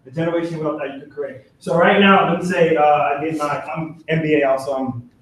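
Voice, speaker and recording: A young man talks about money and business with animated, laughing energy, often tossing in "right?" His voice sits a little high. He is far from the mic in a large, very echoey room with a faint hiss. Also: a little fast. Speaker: grad student and business member. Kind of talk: testimonial talk at a business meeting.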